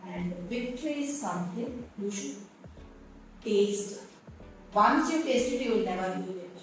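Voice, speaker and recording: A woman's voice: mid-range voice, lively intonation, a little slow, recorded from a distance, faint music behind. Candid Indian woman principal in echoey room. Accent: Indian English. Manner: reflective, candid storytelling. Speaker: school principal. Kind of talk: interview, personal story.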